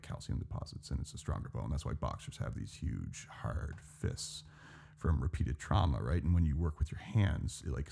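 A man with a deep voice chatting casually as a podcast co-host, laid-back and swearing now and then. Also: vocal fry. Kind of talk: casual chat.

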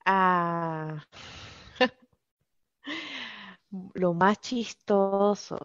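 Puerto Rican woman guest, slow and halting speech, dry and dull-sounding line. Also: mid-range voice, lively intonation, a bit bright, dry recording. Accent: Puerto Rican Spanish. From Puerto Rico. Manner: hesitant, many filler sounds. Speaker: podcast guest. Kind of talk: casual interview chat.

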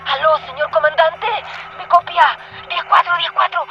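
An actress playing an aging, forgetful ex police commander who pleads haltingly and repetitively with her superior in a radio play. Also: very high voice, fairly even intonation. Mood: desperate, weary. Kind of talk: radio theatre monologue. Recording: dull lo-fi sound with loud music.

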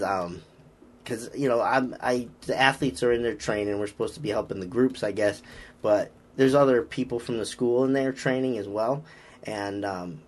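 A man with a Boston accent, a strength coach chatting casually on a podcast, rambling with lots of fillers and restarts. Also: fairly low pitch. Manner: rambling, frequent fillers and restarts, upbeat about lifting.

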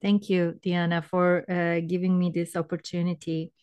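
A middle-aged woman from Turkey, speaking English with a Turkish accent, telling her story hesitantly with many pauses. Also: lowish voice, level tone, darkish tone, clean tone. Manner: hesitant, many fillers and restarts. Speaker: guest coach. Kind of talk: personal story in interview.

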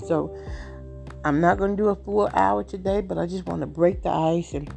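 A woman in her late fifties with a Southern US accent. She speaks in an earnest, rambling way. Her voice is dark and gravelly, and faint instrumental music plays behind her. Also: low voice. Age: 50s. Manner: earnest, rambling, warm-hearted testimony. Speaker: podcast host. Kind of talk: casual talk.

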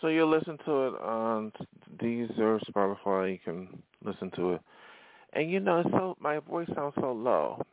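Gravelly low-voiced man on a tinny line. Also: big pitch swings, normal speed, no room sound. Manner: rambling, with frequent fillers and restarts. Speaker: podcast host and musician. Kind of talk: casual solo podcast talk. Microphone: phone or voice-message recording. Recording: dry, phone-like lo-fi sound.

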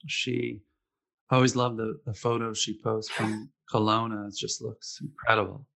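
A man's voice: fairly low pitch, level tone, moderate pace, gravelly, a bit bright. A man hosting a casual interview, talking loosely with many fillers and quoted asides. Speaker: podcast host, former runner.

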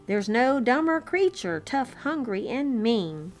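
Slow-reading woman storyteller over violin music. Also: a little high. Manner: expressive, unhurried, amused. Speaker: children's story-time reader. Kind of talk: read-aloud storytelling with chatty asides. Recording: classical violin music bed. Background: classical violin concerto background track.

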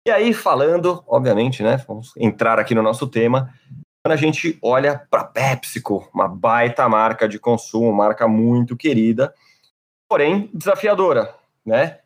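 A man's voice: mid-range voice, expressive, moderate pace. Brazilian male podcast host, playful and upbeat, medium voice. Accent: Brazilian Portuguese. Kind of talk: casual podcast chat.

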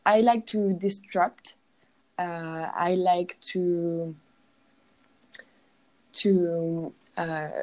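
Slow, hesitant French woman. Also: mid-range voice, dark tone. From France. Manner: hesitant, searching for words, laughs often. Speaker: guest. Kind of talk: casual interview.

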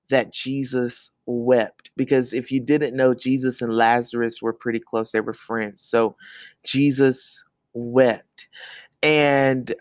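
A man's voice: a little high, dry recording, studio quality. A man hosting a podcast, giving an earnest, sermon-like talk of encouragement from his bedroom, repeating phrases for emphasis. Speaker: podcast host.

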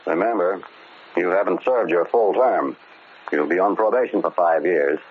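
An actor playing a paternal, exasperated prison warden with an American accent, earnest and probing, in old-time radio drama style. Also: male voice, sits low, moderate pace. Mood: admonishing. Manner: earnest, admonishing, questioning. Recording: tinny, noisy old radio recording.

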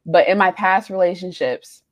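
A young woman in her twenties co-hosting a faith podcast, telling personal stories candidly with frequent pauses and ums. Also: fairly low pitch, highs cut off. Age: young adult. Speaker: podcast co-host. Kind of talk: casual chat.

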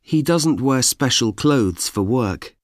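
A man reading example sentences for language learners, deliberate and evenly paced. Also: medium pitch, expressive, dry recording, clean studio sound. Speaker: course audio speaker. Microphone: dry studio mic.

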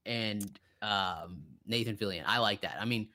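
A man's voice: fairly low pitch, normal speed, bright, forceful tone. A man co-hosting a podcast, chatting casually and enthusiastically about films, with some loose swearing. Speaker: podcast co-host. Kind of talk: casual film chat.

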